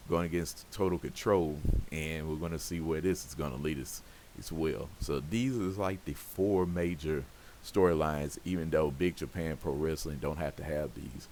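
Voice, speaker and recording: A man with an extremely low, scratchy voice talks through his game in a casual, rambling way, stacking up "you know" and "pretty much" as he goes. A faint hiss sits under the recording. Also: darkish tone. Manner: rambling, loose, full of fillers. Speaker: game streamer. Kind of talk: casual gameplay commentary.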